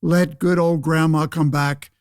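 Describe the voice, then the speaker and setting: An actor playing a pompous, self-righteous 1911 state senator in a radio play, speaking in formal, declamatory phrases. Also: male voice, fairly high pitch, flat delivery, a little slow, smooth, no room sound, clean studio sound, close to an everyday voice. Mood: grandiose, preachy. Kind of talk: pseudo-radio play interview and oratory.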